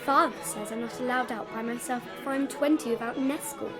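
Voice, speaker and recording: A woman's voice: on the high side, fairly quick, over music, crowd noise, close to an everyday voice. A woman playing a pert, sulky fifteen-year-old Victorian younger sister in a theatrical period drama.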